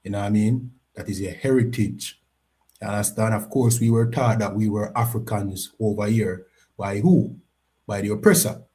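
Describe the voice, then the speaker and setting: A man's voice: fairly low pitch, fairly even intonation, normal speed, warm tone. A man with a Jamaican accent teaching a religious class, often asking "you know what I mean?". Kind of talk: lecture.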